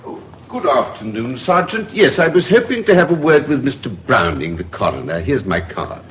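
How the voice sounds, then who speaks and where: An actor playing a measured, authoritative British investigator in a radio drama, speaking with precise, formal, dry courtesy. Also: male voice, medium pitch, sing-song, slight background noise, close to an everyday voice. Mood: courteous.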